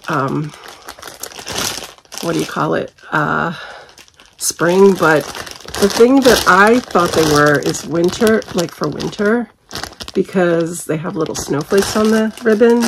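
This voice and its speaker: Woman, 40s, hoarse and gravelly, unhurried and chatty, at-home haul vlogger talking to a camera at desk distance. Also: on the low side, noisy background. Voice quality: gravelly, husky. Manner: chatty, candid, frequent ums and little laughs. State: losing her voice. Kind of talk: casual product review chat. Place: bedroom at home.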